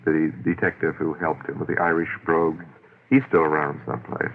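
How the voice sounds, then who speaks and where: A man with a very low, creaky voice hosts a radio interview. He chats casually and nostalgically with his guest. The old broadcast sounds dull and lo-fi. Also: little pitch movement, a little fast, a little hiss or hum.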